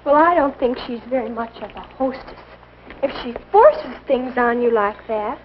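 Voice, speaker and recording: Actress as skeptical teenage girl, high pitch, animated, pointed delivery, muffled vintage film soundtrack. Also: normal speed, a little hiss or hum, natural voice. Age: young adult. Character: outspoken, skeptical teenage girl with teasing edge. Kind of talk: 1950s instructional film acting.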